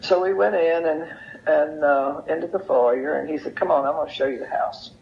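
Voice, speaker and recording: Older woman, creaky low-fi voice recording, folksy storytelling, unhurried reminiscence. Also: a little hiss or hum. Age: older, over 70. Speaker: interview guest sharing memories. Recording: dull, lo-fi sound.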